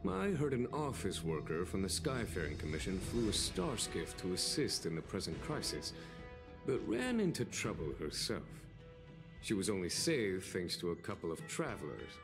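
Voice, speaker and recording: A man playing a genial, unhurried general in a video game, speaking in a polite, musing way. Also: sits low, sing-song. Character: genial, unhurried male general of high office. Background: music.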